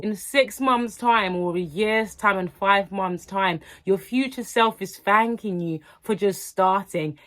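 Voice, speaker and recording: A young woman, hoarse from a cold, talking earnestly and encouragingly to her viewers in a motivational vlog. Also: mid-range voice, fairly bright tone. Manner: earnest, encouraging, repetitive emphasis. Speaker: lifestyle vlogger. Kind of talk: motivational talk to camera.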